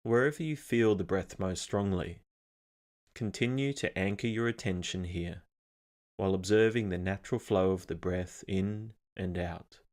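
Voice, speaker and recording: A man leading a scripted guided meditation in a calm, measured, instructional manner. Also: deep voice.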